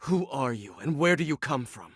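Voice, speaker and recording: Stern, brooding swordsman, medium pitch. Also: animated, moderate pace, breathy edge, dry recording, studio quality. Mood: stern challenge. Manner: stern, terse. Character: brooding, impatient younger swordsman bent on revenge. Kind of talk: dramatic video game cutscene acting.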